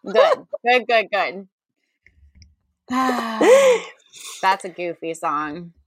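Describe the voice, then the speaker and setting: A woman's voice: a little high, wildly swooping pitch, very slow, no echo, studio quality. A woman in her thirties chatting casually as a comedy podcast co-host, goofy and exclamatory. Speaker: podcast co-host and game contestant.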